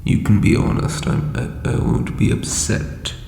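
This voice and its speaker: A man playing an ancient, insecure undead lich king in a comedic audio roleplay, with a breathy croak and hesitant, pompous phrasing. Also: measured pace, dark tone, close to an everyday voice. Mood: doubtful, hopeful. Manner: hesitant, self-doubting, theatrical formal phrasing. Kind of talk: scripted comedic audio roleplay addressed to listener. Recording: reverberant echoey hall, faint background noise.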